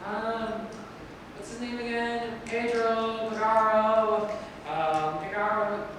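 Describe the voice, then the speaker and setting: A woman's voice: a little high, slow pace. A woman playing a dim, lazy, boastful palace guard who rambles and gossips in a stage play reading. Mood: rambling. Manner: rambling, excitable, forgetful of names. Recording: very echoey hall from far off, noisy.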